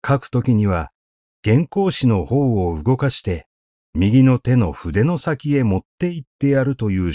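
A man reading literary prose as an audiobook narrator, in a measured, deliberate storytelling delivery. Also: mid-range voice, dark tone, no room sound, studio quality. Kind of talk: literary recitation, scripted narration.

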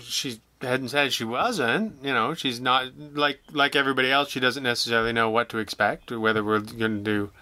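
Guy being interviewed, bright clear voice, kinda halting and wry, pokes fun at himself. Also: medium pitch, no room sound. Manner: hesitant, self-deprecating, wry. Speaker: interview guest, audio drama writer. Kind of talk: casual interview chat.

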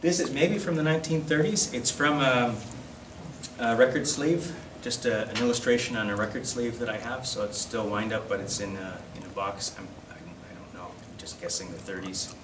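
A man giving an informal slide-show lecture as a presenter, in a hesitant, halting and self-deprecating way. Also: lowish voice, fairly even intonation. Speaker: presenter at a collectors' society. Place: classroom, indoors. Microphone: camera across the room. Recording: distant mic, echoey room, loud background noise.

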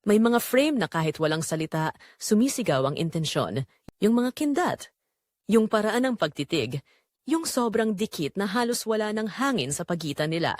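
A woman with a Filipino accent narrating a scripted drama in measured, suspenseful short phrases. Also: mid-range voice, big pitch swings, no echo, clean studio sound.

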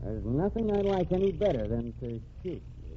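Cool tough ex-reporter, lively swingy delivery, muffled old radio hiss. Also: male voice, a little high, a little fast, over loud music. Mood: menacing. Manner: cool, wisecracking, menacing. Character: cocky undercover ex-reporter man, cool tough. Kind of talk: 1940s radio acting.

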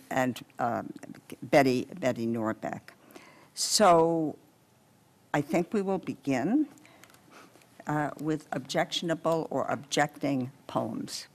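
An older woman introducing a live reading at a podium microphone in an informal way, as host. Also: rumbling low. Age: older, senior. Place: library meeting room, indoors.

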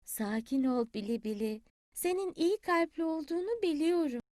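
Woman voicing a soft old grandmother hen. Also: high voice, animated, moderate pace, no room sound, studio quality. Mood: soothing.

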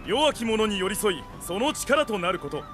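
An actor playing a zealous, righteous young samurai strategist in a game, declaiming theatrically and with grand ideals. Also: male voice, high voice, sing-song, normal speed, music in the background. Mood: impassioned. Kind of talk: Japanese samurai game cutscene acting.

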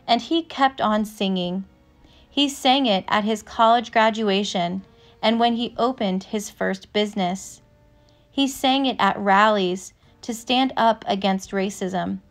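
A woman reads a children's storybook aloud in a mid-range voice. She takes her time, phrasing each line slowly and deliberately. Music plays behind her narration. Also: medium pitch. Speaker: narrator reading a picture book aloud.

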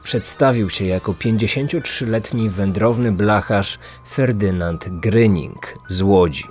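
A man's voice: on the low side, measured pace, a little mellow. A man hosting and narrating a true-crime story from a script. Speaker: podcast host, narrator. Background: background music.

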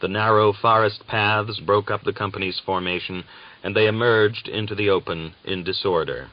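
A man narrating a novel as an audiobook, with a measured, deliberate and dramatic literary delivery. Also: fairly low pitch, steady tone. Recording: dull, lo-fi audiobook recording.

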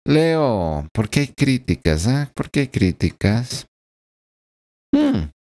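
A man's voice: medium pitch, sing-song, takes it slowly, a little mellow. A man in his forties giving a weekly tarot reading at home into a desk microphone, speaking earnestly and checking in with the listener through frequent tag questions. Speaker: tarot reader. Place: small home room with blinds and plants. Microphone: desk microphone in front of him.

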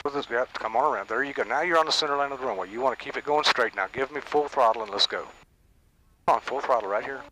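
A man's voice: mid-range voice, fast talker, low-quality recording. An older man, a flight instructor coaching a student in the cockpit in a folksy, encouraging way. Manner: encouraging, folksy, gives steady commands. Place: small airplane cockpit. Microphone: headset boom mic. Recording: engine noise behind headset audio.

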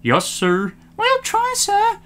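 A man voicing polite, eager little engines in a storybook, in a meek, squeaky way. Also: wildly swooping pitch, slow pace, fairly bright tone, exaggerated voice. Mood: meek, obliging. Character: polite, eager pair of small train engines.

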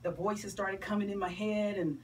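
A Southern US woman gives an emphatic, colloquial interview with an animated delivery and a mid-range pitch. She is recorded from some distance in a small studio, with clear room noise around her. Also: normal speed. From South Carolina, USA. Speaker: interview guest, playwright and motivational speaker. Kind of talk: radio interview, promotional chat. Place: small radio studio, indoors.

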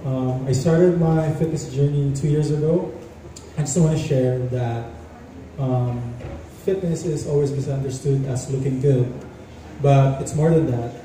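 30s guy from the philippines, plain matter-of-fact talk, really echoey hall with clear background noise. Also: mid-range voice, steady tone, a little slow, dark tone. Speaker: pageant contestant. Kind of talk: prepared self-introduction speech. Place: large indoor hall stage. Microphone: handheld mic, filmed from far. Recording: echoey noisy hall from far off.

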